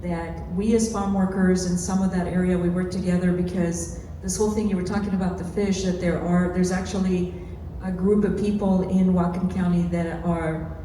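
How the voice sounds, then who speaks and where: Woman panelist, mellow voice in echoey hall. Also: lowish voice, level tone, normal speed. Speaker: panelist, farmworker community organizer. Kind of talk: panel discussion answers, unscripted. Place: large echoey room at a live panel event. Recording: echoey hall PA, distant, faint noise.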